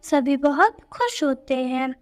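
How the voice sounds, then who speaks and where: Casting: female storyteller for children's tales, high voice, unhurried scripted narration. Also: lively intonation.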